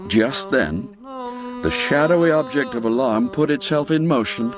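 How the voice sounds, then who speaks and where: A man narrating a scripted story in a measured, theatrical, suspenseful manner. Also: medium pitch, expressive, crowd noise. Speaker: audiobook narrator.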